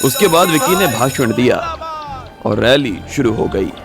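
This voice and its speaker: A man's voice: medium pitch, sing-song, unhurried, gravelly. A man narrating a scripted audio story as its storyteller. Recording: music bed behind the voice.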